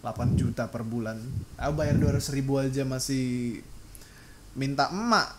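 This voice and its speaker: A man's voice: medium pitch, normal speed. A young man chatting casually as a podcast host, slangy and joking, on a noisy recording. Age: young adult. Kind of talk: casual chat.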